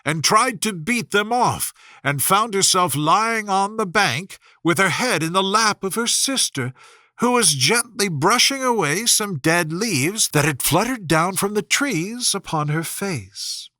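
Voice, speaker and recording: A man reading a children's story aloud as a scripted, expressive narration. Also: high-pitched, measured pace, dry recording, studio quality. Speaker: audiobook narrator.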